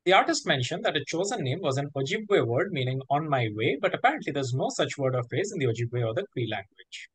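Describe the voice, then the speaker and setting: Animated quiz master, man, slightly husky voice. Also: on the high side, fast talker, few pauses, no room sound, poor audio. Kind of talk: reading quiz questions and ruling on answers.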